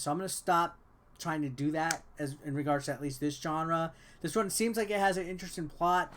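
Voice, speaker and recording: A man's voice: a little high, a bit bright. A man giving casual, slangy gameplay commentary as a game reviewer, with informal asides. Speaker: game reviewer, let's player. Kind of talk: casual gameplay commentary, reading dialogue aloud.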